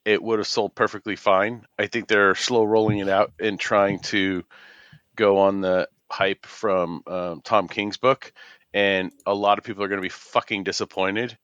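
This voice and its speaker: A man with a clear, fairly low voice chats as a podcast co-host. He is plainspoken and casual, recorded close and dry with slightly muffled sound. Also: flat delivery, moderate pace, a bit bright, no echo. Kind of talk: casual comics podcast chat.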